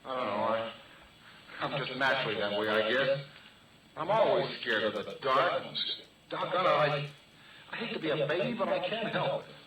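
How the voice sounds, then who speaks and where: Jittery, weary geologist, echoey radio. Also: male voice, medium pitch, expressive, normal speed, pushed and bright, muffled recording. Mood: nervous, sheepish. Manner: hesitant, uneasy, trailing off. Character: weary, jittery male oil geologist, afraid of the dark. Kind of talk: 1940s radio acting. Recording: old radio drama, echoey, distant, hissy.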